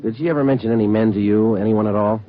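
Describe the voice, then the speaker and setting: Actor as a clipped, very rapid deadpan detective. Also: male voice, on the low side, lightly acted. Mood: businesslike. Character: businesslike, persistent male police detective sergeant. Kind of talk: 1950s radio police drama acting. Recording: dull old radio recording, faint music.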